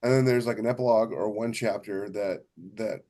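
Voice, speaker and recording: Emphatic low-voiced man in casual conversation. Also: steady tone, fairly quick, warm tone. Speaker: podcast co-host and novelist. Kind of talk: casual chat about writing craft.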